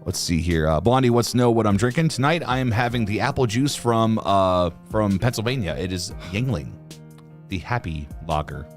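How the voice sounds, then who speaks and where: A man's voice: fairly low pitch, animated, normal speed, soft background music. A man from Ohio co-hosting a casual livestream, reading viewer comments aloud in an easygoing way with lots of fillers. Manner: easygoing, reads chat aloud with frequent "let's see here" and fillers. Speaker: co-host of a reaction channel livestream.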